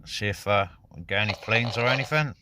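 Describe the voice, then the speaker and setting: A man's voice: fairly low pitch, a little fast. British man over radio hiss. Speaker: radio hobbyist vlogger and CB operator. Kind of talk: casual vlog chat and radio calls. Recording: noisy, clicky radio hiss.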